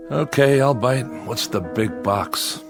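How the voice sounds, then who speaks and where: An actor playing a lonely, prickly exiled man, gruff and sardonic, in a science fiction audio drama. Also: mid-range voice, animated, takes it slowly, faint music behind. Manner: gruff, wry, guarded.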